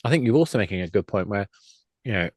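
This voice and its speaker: A man's voice: on the low side, expressive, quick pace, a little mellow, breathy edge, no echo. A man speaking as an expert guest in a discussion, explaining his points in a discursive way. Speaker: guest, games industry expert. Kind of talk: discussion of game storytelling.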